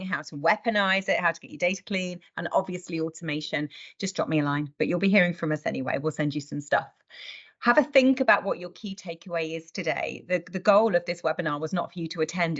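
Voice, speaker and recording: A middle-aged woman hosting a webinar in an informal, direct, chatty and wry way. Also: lowish voice. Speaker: webinar host. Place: indoors on a video call. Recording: dull video call audio.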